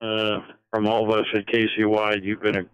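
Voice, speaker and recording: Very smooth, lowish man over radio. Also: monotone, normal speed, dry recording, lo-fi sound. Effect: heard over radio link. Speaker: amateur radio operator checking in. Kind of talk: brief radio check-in remarks.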